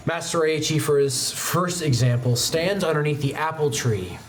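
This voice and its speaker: A man's voice: fairly high pitch, normal speed. A man working as game master, narrating an improvised tabletop game in a casual, theatrical way. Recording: music playing behind the voice. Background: background music.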